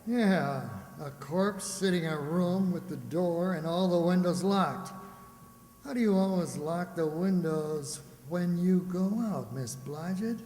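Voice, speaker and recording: Guy doing a fed up police lieutenant, pretty high voice, sarcastic, old radio drama, hall echo. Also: slow, a little mellow. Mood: businesslike. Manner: sardonic, grumbling, officious. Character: exasperated, sarcastic male police homicide lieutenant. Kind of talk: old-time radio detective drama acting. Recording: very reverberant room or hall.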